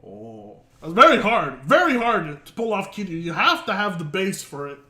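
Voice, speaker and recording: A man's voice: high voice, sing-song, normal speed, bright, forceful tone, roomy sound. A man streaming and reacting casually, rambling with many hesitations, swearing and laughing often.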